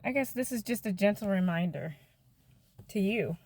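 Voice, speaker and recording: A woman, giving a gentle, chatty devotional talk to her viewers. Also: mid-range voice, expressive, moderate pace. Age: 50s.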